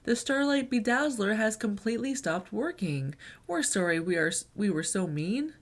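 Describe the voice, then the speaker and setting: A woman's voice: mid-range voice, expressive, normal speed, lightly acted. A woman voicing young storybook unicorns in a pleading, earnest manner. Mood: worried, pleading.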